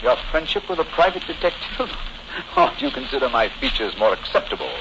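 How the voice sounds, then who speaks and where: An actor playing a shrewd, amused gentleman detective with an English accent, urbane and clipped in a 1940s radio drama. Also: a little high, wildly swooping pitch, brisk. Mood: teasing. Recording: noisy, dull old 1940s radio recording.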